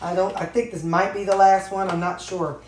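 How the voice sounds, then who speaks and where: A woman's voice: lowish voice, little pitch movement, moderate pace, darkish tone. A woman chatting casually while she cooks in her home kitchen for a vlog. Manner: chatty, folksy, talks while cooking. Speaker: vlogger. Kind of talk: casual cooking vlog chat. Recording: echoey kitchen with faint noise.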